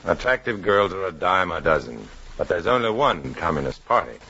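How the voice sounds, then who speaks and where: A man's voice: sits low, sing-song, moderate pace, sharp tone, full character voice. An actor playing a defiant, sardonic undercover man with a dry, clipped manner in a 1950s radio drama. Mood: wary, sardonic. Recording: noisy, dull 1950s radio broadcast.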